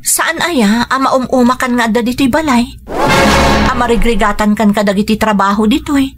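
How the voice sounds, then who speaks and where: A woman's voice: a little high, a little fast, loud music behind the voice, natural voice. A woman with an Ilocano accent playing a hard-working, exasperated wife and mother in a radio drama, scolding in a plain-spoken way. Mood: exasperated, reassuring.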